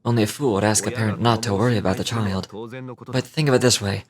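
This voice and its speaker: A man playing a composed, wise and courteous young-looking gentleman in a video game, with measured, formal, philosophical delivery. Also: on the low side, fairly even intonation, crowd noise, close to an everyday voice. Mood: calm, reassuring.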